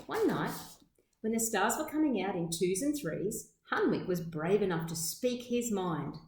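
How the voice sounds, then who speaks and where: In an echoey room, a woman reads a children's story aloud. Her medium-pitched voice moves through the sentences deliberately, shaping phrases with teacherly drama. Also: animated, a little mellow, slight background noise. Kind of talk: expressive storybook read-aloud.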